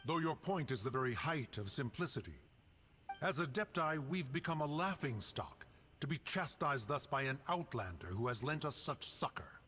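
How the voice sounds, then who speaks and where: A man's voice: medium pitch, sing-song, moderate pace, pushed and bright, faint music behind. An actor voicing a proud, stern male immortal in a game, pompous, with archaic phrasing. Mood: indignant. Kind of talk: scripted game cutscene acting.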